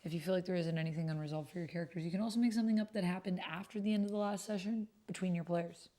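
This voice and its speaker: A woman with a slightly low voice hosts a casual livestream. She moves between reading messages and prompts aloud and commenting on them in a chatty, conversational way. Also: rapid-fire, mellow. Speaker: livestream host and tabletop roleplay player.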